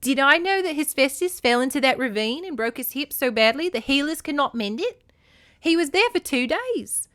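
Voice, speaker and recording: A haughty queen goddess with an Australian accent. Her storybook voice is high, proud and pointedly scornful. It is recorded dry. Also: sing-song, moderate pace, fairly bright tone, dry recording. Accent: Australian (put on).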